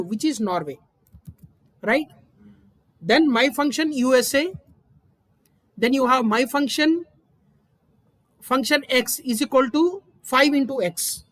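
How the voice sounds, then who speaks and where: A woman's voice: high voice, no echo. A woman teaching a recorded programming lesson, explaining each step and often checking in with "right?". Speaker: instructor. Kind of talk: screen-recorded coding lesson.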